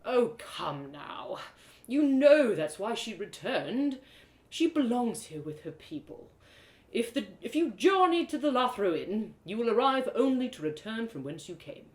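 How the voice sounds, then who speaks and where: A woman's voice: fairly high pitch, big pitch swings, measured pace. A woman voicing a self-righteous male elf guard in a storybook, with formal, mocking speech. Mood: condescending. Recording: roomy echo.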